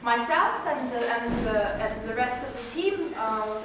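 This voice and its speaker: A woman's voice: fairly high pitch, lively intonation, a little slow. Chatty woman speaking from far off. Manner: chatty, hesitant with restarts and small laughs. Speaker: guest speaker presenting a book. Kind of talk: informal talk to a small audience. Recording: echoey room, distant mic, noisy.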